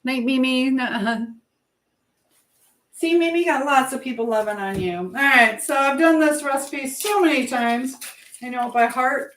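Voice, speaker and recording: A woman's voice: high voice, moderate pace, a bit bright. Chatty American woman hosting a casual livestream. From United States. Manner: chatty, reading out and answering viewer comments. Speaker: livestream host. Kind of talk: casual live chat with viewers while cooking. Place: home kitchen.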